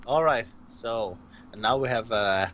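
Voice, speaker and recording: A man from Denmark with a Danish accent, a game designer chatting casually and haltingly while playing a board game. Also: mid-range voice, fairly bright tone, lo-fi sound.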